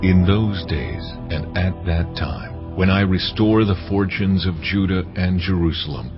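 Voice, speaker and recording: A man playing God in a dramatized scripture reading, speaking in a measured, solemn and authoritative way. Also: sits low. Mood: solemn, commanding. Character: God, solemn authoritative male deity addressing his people. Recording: dull lo-fi audio. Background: clear background music.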